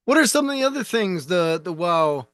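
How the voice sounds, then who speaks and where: A man's voice: high-pitched, big pitch swings, normal speed, a bit bright. A man hosting a business podcast, reacting to his guest with enthusiastic short comments and questions. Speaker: podcast host. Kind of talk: casual interview.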